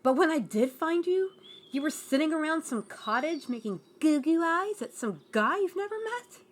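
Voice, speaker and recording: A fiery young woman in a fantasy audio drama, acted out by a woman, with a high-pitched voice. She is pushy and sarcastic, quick to complain, and full of resentment. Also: animated. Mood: accusing. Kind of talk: fantasy audio roleplay acting.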